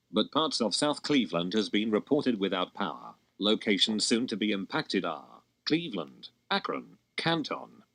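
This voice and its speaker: A man's voice: on the low side, fairly bright tone, no room sound. A man reading scripted emergency broadcast warnings as an announcer, formal and impersonal.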